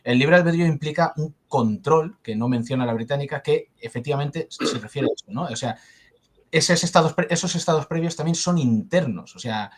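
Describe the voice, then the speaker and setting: A man's voice: fairly high pitch, fairly quick, slightly rough. A man co-hosting a discussion, enthusiastically building on the guest's point while restarting his phrases often. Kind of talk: casual discussion.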